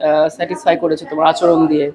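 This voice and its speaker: Fast-talking Bangladeshi man hosting an interview. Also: fairly high pitch, level tone, crowd noise. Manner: repeats answers back, mixes in English phrases. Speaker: podcast host interviewer. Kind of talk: casual interview, questioning a child.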